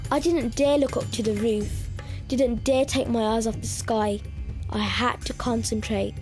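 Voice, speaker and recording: A woman reading a tense passage from a novel aloud, measured and deliberate. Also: on the high side, fairly even intonation, music in the background.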